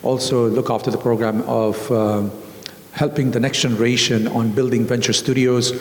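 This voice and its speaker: A man introducing himself briskly on a panel as a board member. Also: mid-range voice, flat delivery, a little mellow. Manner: brisk, clipped. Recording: echoey hall.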